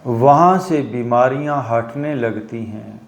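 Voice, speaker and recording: Slow-paced man guiding meditation, echoey room. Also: medium pitch, dull audio. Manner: instructional, with long pauses between short phrases. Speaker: yoga and meditation teacher. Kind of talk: guided meditation. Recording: roomy echo on the voice.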